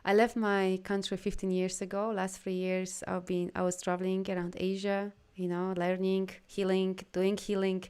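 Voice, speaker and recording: A woman's voice: flat delivery, normal speed, few pauses, darkish tone, smooth. Polish woman, live-stream healer, earnest and affirming, voice lifts when emphatic, accented English. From Poland. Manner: heartfelt, affirming, rises in pitch and volume when emphatic. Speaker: energy healer and coach hosting a live video. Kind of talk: live stream talk answering viewer comments.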